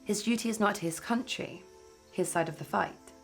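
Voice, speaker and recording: A woman's voice: mid-range voice, moderate pace, creaky, over music. A woman reading a scripted video essay as its narrator.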